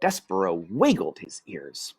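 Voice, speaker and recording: A woman reading a children's book aloud as storyteller, with dramatic, deliberate phrasing. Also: rumbling low, wildly swooping pitch, creaky, darkish tone, breathy edge, dry recording, clean studio sound.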